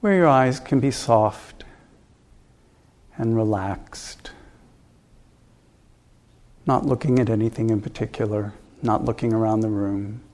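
A man leading a guided meditation as a teacher, giving calm, gentle instructions with unhurried pauses. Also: fairly low pitch.

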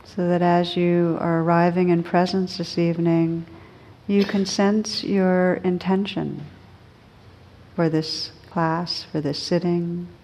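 A woman teaching meditation, guiding listeners gently and unhurriedly, with pauses between short phrases. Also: on the low side, little pitch movement, a little hiss or hum. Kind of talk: guided meditation.

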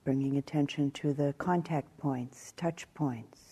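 Very soft, dark-voiced woman guiding meditation. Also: low-pitched, breathy edge. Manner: measured, calm delivery with long pauses between short phrases. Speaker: meditation teacher. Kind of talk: guided meditation instruction.

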